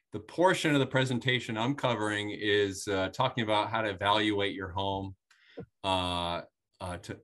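A man's voice: sits low, fairly bright tone. A man, an energy advisor, starting a webinar presentation over a video call, hesitant with many fillers.

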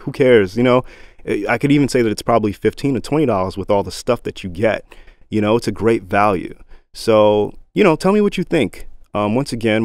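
A man's voice: lowish voice, darkish tone, clear, resonant tone, no room sound, studio quality. A man with a slightly groggy morning voice, talking casually to viewers as he reviews a microphone. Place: home studio. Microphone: budget condenser microphone through audio interface.

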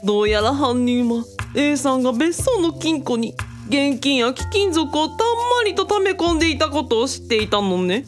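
A woman's voice: high-pitched, animated, normal speed, soft background music. A woman playing a self-assured, worldly female mentor who talks in a teasing, feminine way in a radio drama. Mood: confiding.

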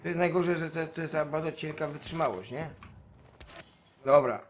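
A man's voice: on the high side, normal speed. Blunt man streaming a game. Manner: blunt, sweary, self-deprecating. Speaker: gaming streamer. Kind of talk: casual live gameplay commentary. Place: indoor home streaming room. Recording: faint background noise from game. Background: faint game ambience.

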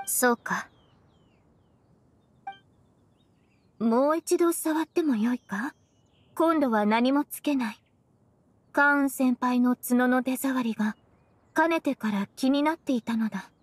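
A woman playing a stoic, blunt, otherworldly young woman in an anime game, speaking formally and deadpan. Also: high-pitched, breathy edge. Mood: stoic, curious. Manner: flat, formal, deadpan, slow. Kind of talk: Japanese anime game acting.

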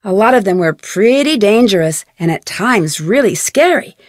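A woman's voice: mid-range voice, animated, moderate pace, dry recording, clean studio sound, lightly acted. A woman playing a curious, friendly young amateur detective in a video game, with upbeat, conversational scripted delivery. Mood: friendly, instructive. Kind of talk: scripted video game dialogue and tutorial narration.

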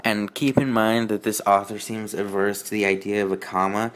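A man hosting a fan fiction reading with casual, mocking commentary, halting and repeating himself in a sardonic way. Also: fairly low pitch, level tone, fairly bright tone, rough recording.

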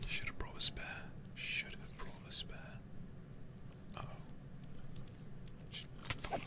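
A man livestreaming a game in hushed, breathy whispers, ASMR style, close to the microphone. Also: slow pace. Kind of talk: whispered ASMR gameplay commentary.

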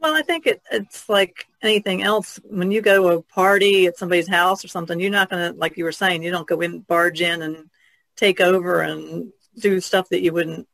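A woman's voice: on the low side, steady tone, moderate pace, gravelly, a bit bright, dry recording, poor audio. A woman chatting informally in a podcast discussion, often adding "you know".

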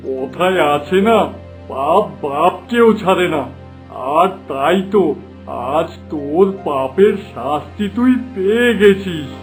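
Man acting a blunt, high-pitched village headman. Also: expressive, slow, soft background music. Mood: admonishing. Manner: blunt, scolding, exclamatory. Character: blunt village headman, gruffly scolding and astonished. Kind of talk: cartoon moral tale acting.

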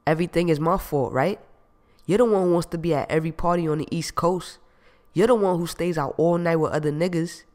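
A man reading an audiobook, voicing a jealous, possessive ex-boyfriend who accuses and shouts. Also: fairly high pitch, normal speed, close to an everyday voice. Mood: furious. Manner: loud, accusing, streetwise.

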